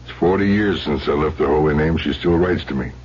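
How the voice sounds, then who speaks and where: An actor playing a gruff, awkward older ex-convict with an offhand, halting manner in an old-time radio drama. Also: male voice, rumbling low, darkish tone. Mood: wry, skeptical. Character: gruff, aging ex-convict turned rich, awkward host. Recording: muffled, hissy old radio recording.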